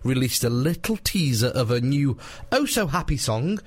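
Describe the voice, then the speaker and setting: A man's voice: medium pitch, very animated, normal speed, breathy edge, dry recording. A man presenting a casual phone-in radio show, chatty and teasing, laughing often.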